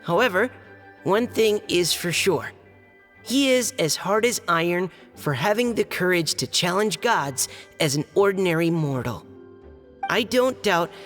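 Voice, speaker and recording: Casting: earnest, timid young knight in a game, unhurried and polite, in a long reverberant hall. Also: male voice, sits high, a bit bright. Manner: hesitant, polite, careful recitation. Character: shy, earnest young male knight reading aloud a letter. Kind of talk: video game voice acting. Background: music.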